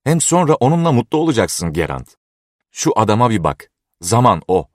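A man's voice: lowish voice, very animated, fairly quick, no room sound, clean studio sound, pitched above the performer's own voice. A man voicing a sinister, mocking little old creature of a man. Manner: mocking, sly. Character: sinister little old male goblin-like being.

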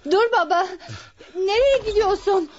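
An actress playing a devoted, frightened and emotionally fragile young daughter in a dramatic radio play. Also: female voice, sits high, moderate pace, mellow, no echo, lo-fi sound, lightly acted. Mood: worried.

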